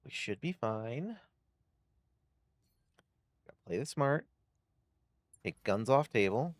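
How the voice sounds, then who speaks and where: A man's voice: mid-range voice, lively intonation, slow pace, no echo. A man giving casual video game commentary as a streamer, thinking aloud through tactics in short bursts. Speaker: gameplay streamer.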